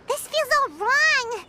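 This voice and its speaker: A woman voicing a small, childlike floating companion in a video game, with a squeaky, excitable, fretful delivery. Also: very noisy, full character voice. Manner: excitable, folksy turns of phrase. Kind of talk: video game character acting. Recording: music playing behind the voice.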